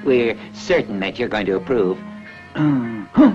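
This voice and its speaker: A man voicing a swindling weaver in a satirical fairy tale, coaxing and flattering with mock courtesy like a salesman. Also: mid-range voice, unhurried, muffled recording, over music, lightly acted. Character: smooth-talking swindler weaver flattering his royal marks.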